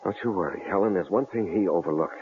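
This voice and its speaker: An actor playing a protective, stubborn husband in an old radio drama, earnest and indignant. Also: male voice, low voice, quick pace, lightly acted. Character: protective middle-aged husband, stubborn and brave. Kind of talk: 1940s radio acting. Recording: tinny old radio broadcast with audible hiss.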